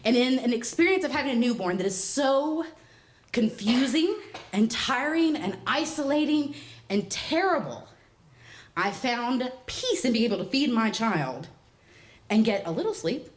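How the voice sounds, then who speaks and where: A woman's voice: on the high side, expressive, measured pace. A young adult woman performing a wry, exasperated comic monologue from a stage. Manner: wry, exasperated storytelling, voicing others in quotes. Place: live stage before an audience.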